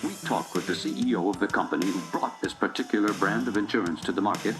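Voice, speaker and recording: A man playing a brisk television news reporter reading a scripted report. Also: fairly low pitch, natural voice. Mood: brisk, neutral. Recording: loud music behind.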